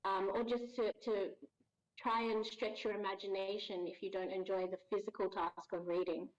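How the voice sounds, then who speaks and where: A woman's voice: medium pitch, little pitch movement, moderate pace. A woman from Ghana, a voice actor guest on a podcast, talking in a friendly, thoughtful way and laughing easily. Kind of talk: casual podcast interview. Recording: dull lo-fi audio.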